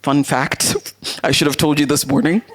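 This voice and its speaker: Woman, deep voice, masterclass speaker, motivational coaching style, emphatic and deliberate delivery. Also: husky. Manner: deliberate, emphatic, uses rhetorical questions and repetition. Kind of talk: motivational talk to an audience.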